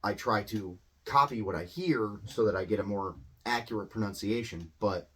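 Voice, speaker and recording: A man gives an unscripted English lesson in a low-pitched voice. He rambles, fills pauses with ums and uhs, and restarts his sentences. The tone stays loose and conversational, like a casual explainer video. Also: a bit bright, husky. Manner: rambling, filler-heavy, self-correcting. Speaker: English teacher.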